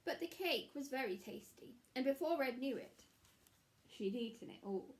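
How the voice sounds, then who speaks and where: A woman in her thirties, a primary teacher reading a story aloud to children in a measured, explanatory way, recorded in a small room. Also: sits high, expressive. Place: small room at home, indoors.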